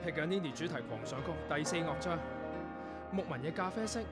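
An actor in a Cantonese radio drama playing a tormented young composer, curt and dramatic, with a Hong Kong accent. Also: male voice, fairly high pitch, animated, brisk, over loud music, close to an everyday voice. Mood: intense, frantic dictation. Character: tormented young male composer, curt, anguished, losing control of his ears.

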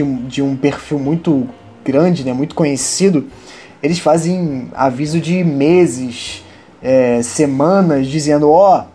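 A man with a Brazilian accent, co-hosting a casual podcast from home and presenting nerd news, excitable and quick to swear and laugh. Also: a little high. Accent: Brazilian Portuguese. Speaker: podcast co-host.

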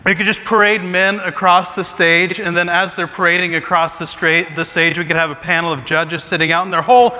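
A high, bright male voice belongs to a pastor in his forties who jokes at his own expense in a chatty sermon. His words ring out with a long reverb, as in a large church sanctuary. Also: flat delivery, brisk, few pauses. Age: 40s. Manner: self-deprecating joking, chatty asides. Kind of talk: humorous sermon talk.

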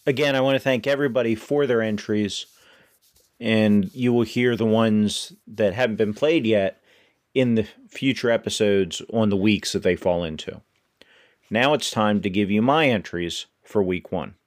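A man in his fifties hosts his podcast alone in a relaxed, conversational way. His voice sits on the low side and is recorded dry and close, with no room sound. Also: normal speed, dry recording. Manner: conversational, thinks aloud with small self-corrections. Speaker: podcast host. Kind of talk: solo podcast talk.

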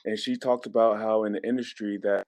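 A man's voice: lowish voice, little pitch movement, brisk, darkish tone, no echo. A man talking in a casual, slangy and insistent way, as in a group podcast chat. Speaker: podcast panelist. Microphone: remote call mic.

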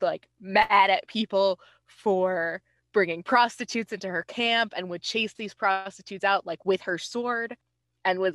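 A woman's voice: lowish voice, dry recording. A woman hosting a podcast, telling history in a casual, informal way with wry, self-deprecating asides. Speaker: podcast host.

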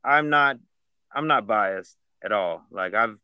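A man's voice: fairly low pitch, expressive, takes it slowly, dry recording, dull audio. Stammering laid-back man, dry sound. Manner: stammers, repeats words, laid-back slang. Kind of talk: casual chat.

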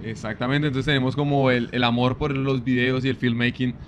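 A man from Colombia with a Bogotá accent, a guest on a casual podcast interview, talking in a relaxed, easygoing way. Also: medium pitch, brisk, a little hiss or hum. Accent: Bogotá Colombian Spanish. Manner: relaxed, easygoing, leans on "pues" as a filler.

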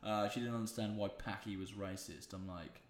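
A man's voice: fairly low pitch, steady tone, normal speed, a little hiss or hum. A young man hosting a podcast, telling rambling stories and swearing freely. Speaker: podcast host. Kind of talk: casual chat. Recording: echoey room.

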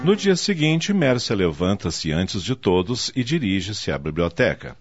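A man's voice: on the low side, sing-song, unhurried. A man narrating a radio soap in a dramatic, scripted style. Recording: dull lo-fi audio, music underneath.

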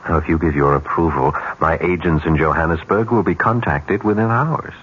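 A man's voice: low-pitched, a little fast, natural voice. A male actor playing a brash, domineering advertising executive in a 1970s radio drama, blunt and pushy. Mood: cool, calculating. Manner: blunt, pushy, clipped sarcasm. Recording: dull, faintly noisy old radio recording.

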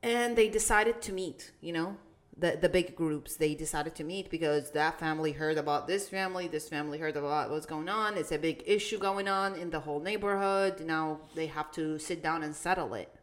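Low-voiced young woman from Iraq. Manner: storytelling, halting with restarts and fillers. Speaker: podcast co-host. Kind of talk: casual chat.

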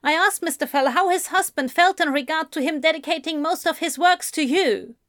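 A woman voicing an eager journalist with a loud, politely pressing manner, as in an audiobook story. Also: high-pitched, normal speed, dry recording, clean studio sound, voice pushed up. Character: eager, probing journalist at a literary event.

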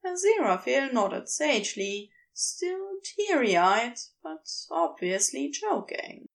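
A woman's voice: high-pitched, big pitch swings, slow pace. A woman narrating scripted fiction as a storyteller.

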